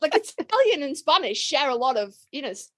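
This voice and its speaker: A woman hosting a podcast in casual, jokey chat, swearing freely and rambling excitably. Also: sits high, brisk, no echo, clean studio sound. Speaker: podcast host.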